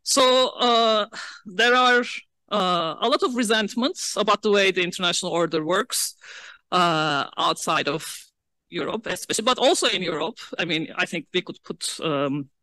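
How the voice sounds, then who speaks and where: Bright, measured woman panelist. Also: fairly low pitch, dry recording. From Turkey. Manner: measured, frequent "uh" fillers, occasional soft laughs. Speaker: panel speaker. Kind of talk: academic roundtable remarks. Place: large lecture hall.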